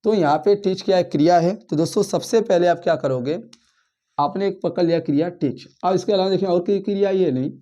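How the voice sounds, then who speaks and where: Male teacher, high voice, repetitive question-and-answer lesson delivery. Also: a little fast, dark tone, lo-fi sound. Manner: addresses listeners as friends, asks and answers his own questions; counts words aloud, repeats and rephrases points. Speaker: school English teacher. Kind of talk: classroom grammar lesson.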